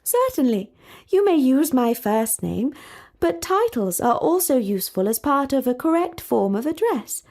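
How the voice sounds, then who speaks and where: A woman's voice: high voice, big pitch swings, a little slow, darkish tone, pitched above the performer's own voice, exaggerated voice. A woman voicing a poised, formal young princess who speaks precisely and stays unruffled.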